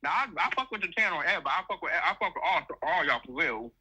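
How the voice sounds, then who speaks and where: A man's voice: fairly high pitch, lively intonation, fast, bright, forceful tone, slightly rough. A man calling in to chat casually, hesitant and self-effacing, often repeating himself. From Chicago, United States. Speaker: caller. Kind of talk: casual chat on a call-in show.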